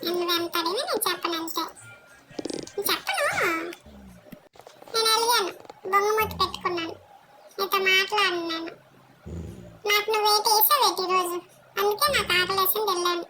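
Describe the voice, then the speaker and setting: A squeaky sassy puppy girl. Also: a little fast, long pauses, soft background music, low-quality recording. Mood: sulky cheeky. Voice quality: squeaky childlike baby voice. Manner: sassy, whiny, talks back. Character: cheeky sulky little female pet dog. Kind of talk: pet voice-over for a home vlog. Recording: loud background noise.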